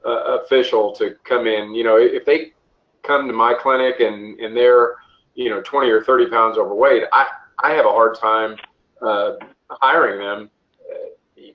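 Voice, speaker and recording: A man giving an interview answer as a coordinator on a conference call, speaking haltingly with frequent restarts. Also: mid-range voice, fairly even intonation, a bit bright, low-quality recording. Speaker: officiating coordinator, interview guest.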